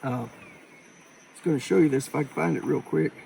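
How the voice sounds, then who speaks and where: A man's voice: fairly high pitch, fairly even intonation, fairly quick, vocal fry, darkish tone, slight background noise. A man giving an informal, plain-spoken, rambling preparedness talk to viewers, in a folksy way. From Appalachia, United States. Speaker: preparedness vlogger.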